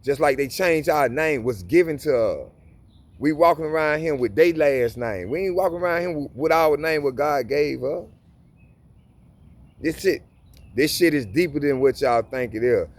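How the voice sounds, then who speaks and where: A man's voice: a little high, expressive, normal speed. A man giving informal Bible reading and commentary in a casual preaching manner, often restarting and repeating words. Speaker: Bible teacher.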